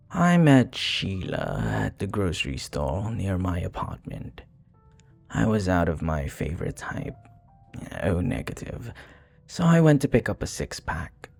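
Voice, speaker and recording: A very deep, husky male vampire bartender, acted by a man, murmurs in an intimate ASMR roleplay. He is suave, flirty and courteous, taking his time over each phrase. His gravelly voice often sinks toward a whisper. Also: darkish tone, heavily acted. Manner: suave, courteous, teasing, unhurried.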